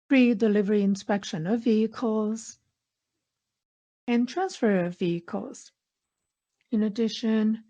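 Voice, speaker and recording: A woman interpreting a corporate earnings call in measured phrases, pausing mid-sentence. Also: medium pitch, dark tone. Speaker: interpreter voicing conference call speakers. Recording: dull, lo-fi conference line audio.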